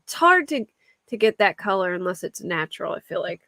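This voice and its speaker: A woman's voice: on the low side, sing-song, fairly quick, pushed and bright, no room sound. Chatty woman with a Philly accent. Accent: Philadelphia. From Philadelphia area. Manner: chatty, reads a story aloud while answering chat, laughs often. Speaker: true crime livestream host. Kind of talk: casual livestream chat reading news and chat.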